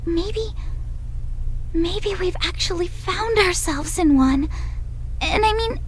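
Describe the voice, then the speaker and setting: A woman's voice: very high voice, animated, slow pace, slight background noise, full character voice. A woman voicing an eager, earnest, slightly hesitant young girl in a visual novel game.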